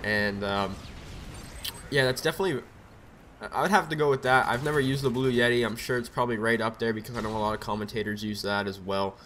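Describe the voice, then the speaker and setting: A man's voice: medium pitch, fast, a bit bright, slight background noise. Young man with a cold, rambling over gameplay. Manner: rambling, filler-heavy, laid-back. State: has a cold, a bit raspy. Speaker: gameplay commentator. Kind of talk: casual gameplay commentary. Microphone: USB desk mic.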